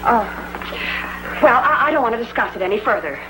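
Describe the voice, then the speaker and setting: A bright, exasperated housewife. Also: female voice, on the high side, expressive, brisk, slight background noise, poor audio. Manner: exasperated, scolding. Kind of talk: 1950s TV sitcom acting.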